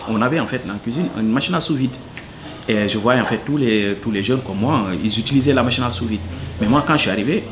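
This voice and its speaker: A man's voice: medium pitch, a little hiss or hum. A man from Senegal, answering interview questions earnestly and hesitantly, full of fillers. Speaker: cook, interviewee.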